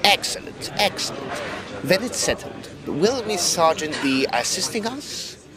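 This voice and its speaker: Actor as urbane, animated gentleman detective. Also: high-pitched, measured pace, crowd noise. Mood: eager, courteous. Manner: courteous, urbane, teasing. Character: suave, eager Edwardian occult detective, man. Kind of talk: audio drama dialogue.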